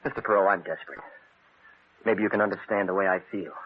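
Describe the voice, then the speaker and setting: A desperate suitor pleads urgently in a low, husky voice. It is vintage radio acting with thin, narrow broadcast sound. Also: male voice, quick pace. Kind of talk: old-time radio drama acting.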